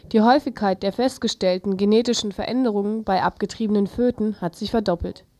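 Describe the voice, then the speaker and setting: A woman's voice: medium pitch, dark tone, no echo. A woman reading a scripted news report as a presenter.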